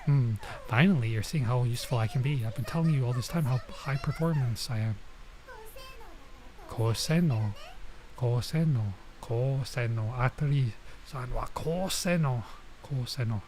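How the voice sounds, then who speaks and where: A man reads the part of a small, proud robot girl in a visual novel game. The lines are eager and self-satisfied, at a middling pitch, over clear background noise. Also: normal speed, a little mellow, natural voice. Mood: smug.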